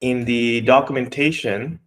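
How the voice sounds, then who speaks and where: A man from Bangladesh whose first language is Bengali, explaining code step by step in a relaxed way, with fillers and restarts. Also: mid-range voice, a little slow. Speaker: coding instructor. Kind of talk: walking through code live.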